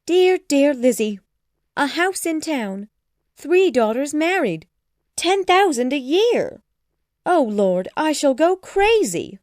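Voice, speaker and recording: A woman voicing an excitable, flighty middle-aged mother who gushes in breathless exclamations. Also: high voice, takes it slowly, dry recording, studio quality, pitched above the performer's own voice, full character voice. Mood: gushing delight.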